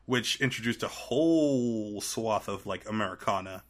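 A man's voice: lowish voice, moderate pace. A man in his thirties from Trinidad and Tobago, chatting casually as a podcast guest, often restarting his phrases mid-thought. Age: 30s. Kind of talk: casual conversational interview.

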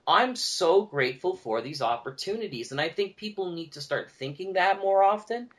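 Male podcast guest, slightly high voice, animated and conversational. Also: normal speed. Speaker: podcast guest, audio drama producer.

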